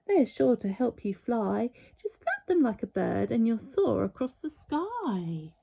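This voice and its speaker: Woman acting as kindly old Christmas gent, storybook voice, avuncular, animated, slightly dull recording. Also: a little high, measured pace, creaky, slightly rough, exaggerated voice. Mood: encouraging. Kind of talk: storybook character voice.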